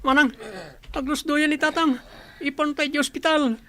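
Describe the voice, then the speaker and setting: An actress playing an anxious, alarmed younger sibling in a radio drama. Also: female voice, sits high, fairly even intonation, moderate pace, bright, forceful tone, a little hiss or hum.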